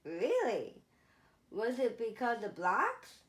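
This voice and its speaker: A woman's voice: fairly high pitch, wildly swooping pitch, slow pace, a bit bright, echoey room, heavily acted. Lady reading as a little storybook boy, earnest and curious, asking simple questions. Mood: eager. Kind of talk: storybook character voice in a read-aloud.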